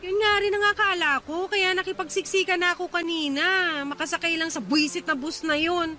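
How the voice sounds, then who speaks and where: A woman's voice: very high voice, fairly even intonation, brisk, over music. A fed-up young woman stranded at night. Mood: exasperated. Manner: exasperated, complaining. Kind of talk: radio drama acting.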